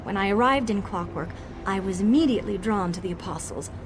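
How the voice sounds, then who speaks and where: A woman's voice: mid-range voice, expressive, fairly quick, music in the background, close to an everyday voice. A woman voicing an eager, boastful young female mage tinkerer in a video game, dramatic and nervously evasive. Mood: sheepish, confessing. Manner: dramatic, self-congratulating, hesitant when cornered. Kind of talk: video game character dialogue.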